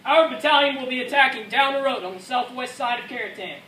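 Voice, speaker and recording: High, bright dutiful paratrooper, played by a man. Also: quick pace. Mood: sober, matter-of-fact. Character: male American WWII paratrooper, dutiful veteran recounting combat. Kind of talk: rehearsed dramatic war narration. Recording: echoey room from far off, faint noise.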